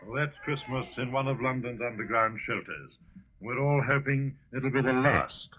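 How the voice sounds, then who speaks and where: A man's voice: medium pitch, normal speed, background noise. A man with a British accent presenting scripted narration in 1940s radio style. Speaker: broadcast presenter. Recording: dull lo-fi 1940 radio recording.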